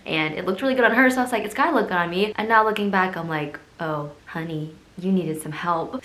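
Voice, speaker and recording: A woman's voice: mid-range voice, a bit bright. A young American woman chatting casually to viewers as a beauty vlogger, in a personal, encouraging way. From Tennessee, United States. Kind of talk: casual direct-to-camera tutorial chat. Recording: roomy indoor echo.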